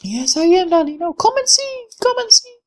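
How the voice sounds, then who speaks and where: Very high, spoiled girlish daughter. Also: big pitch swings, normal speed. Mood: haughty, teasing. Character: spoiled, gushing young daughter of a military man.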